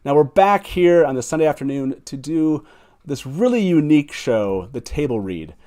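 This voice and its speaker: A man's voice: a little high, sing-song, normal speed, warm tone. A man hosting a live fan talk show in a casual, enthusiastic and emphatic way.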